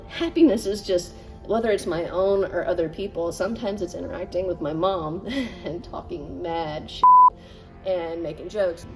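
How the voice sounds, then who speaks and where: A woman's voice: on the low side, normal speed. A woman who works as a dining hall associate, answering interview questions frankly. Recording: distant mic with music underneath.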